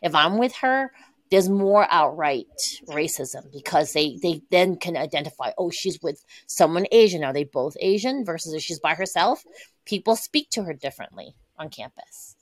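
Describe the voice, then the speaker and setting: A woman's voice: on the low side, normal speed, no echo. Earnest middle-aged woman, dry sound. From Taiwan. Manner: earnest, candid, animated emphasis. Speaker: guest. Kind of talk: conversational interview.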